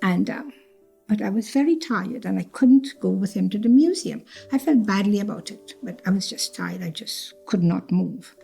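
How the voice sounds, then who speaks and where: An older woman from Trinidad recounts her past in a reflective interview, often repeating herself and voicing the words of others. Her voice is mellow and carries a gravelly fry. Soft music plays faintly behind her. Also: mid-range voice, animated, moderate pace. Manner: reflective, repeats phrases, acts out quoted speech. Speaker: cancer survivor telling her story. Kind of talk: personal storytelling interview.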